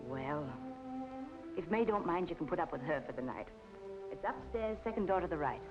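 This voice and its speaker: A woman's voice: on the low side, expressive, normal speed, close to an everyday voice. A woman playing a tough but kindly, world-weary nightclub worker, blunt and slangy, in old film noir style. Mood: casual, guarded. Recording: dull vintage film audio, music behind.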